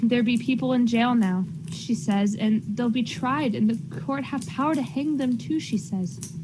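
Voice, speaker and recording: A woman's voice: on the high side, measured pace, background noise, lightly acted. A woman playing an anxious, insistent Puritan farmer's wife in a radio drama, earnest and pressing in old-fashioned phrasing. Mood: troubled. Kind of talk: period radio drama acting.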